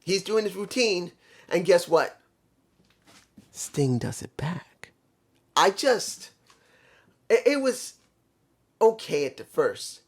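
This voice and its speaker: Man, fairly high voice, casual show reviewer, rambling, self-correcting, trips over names. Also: sing-song, takes it slowly, long pauses. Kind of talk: casual solo review talk.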